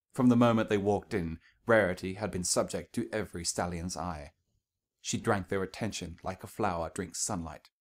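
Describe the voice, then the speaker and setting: A man reading scripted fiction aloud as a narrator, in a storytelling style. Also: sits low.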